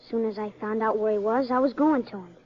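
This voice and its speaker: A woman's voice: on the high side, quick pace, dull audio, a little hiss or hum, lightly acted. A woman playing an earnest, wary eleven-year-old runaway boy in a 1950s western radio drama, with an American accent and plain country talk.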